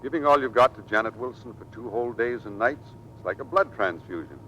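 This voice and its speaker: An actor playing a steady, affectionate navy commander in a 1940s radio drama. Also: male voice, fairly quick, natural voice. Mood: tender, apologetic. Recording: noisy old radio broadcast, low rumble.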